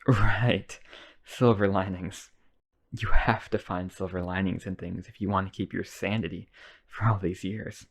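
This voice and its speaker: A man's voice: low voice, steady tone, unhurried. A man playing a lonely, obsessive, wealthy vampire brother in a boyfriend ASMR roleplay, coaxing and polite. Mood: wistful.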